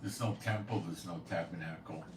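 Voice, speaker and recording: Deep-voiced blunt man in an echoey room. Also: fairly quick. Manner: blunt, opinionated, scoffing. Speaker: host of a prophecy commentary channel. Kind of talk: casual rambling monologue. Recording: echoey room, far from the mic, faint noise.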